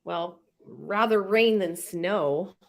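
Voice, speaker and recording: A woman's voice: fairly low pitch, drawn-out words, creaky. Woman host with a head cold, congested, rambling, laughs a lot. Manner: chatty, rambling, laughs often. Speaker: live stream host. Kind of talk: casual live stream chat, reading viewer comments.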